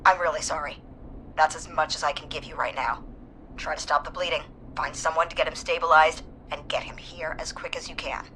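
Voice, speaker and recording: An actress playing a calm, no-nonsense nurse in a video game, giving direct, measured instructions. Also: female voice, low voice, slight background noise, rough recording. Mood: regretful, urgent. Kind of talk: dramatic video game voice acting.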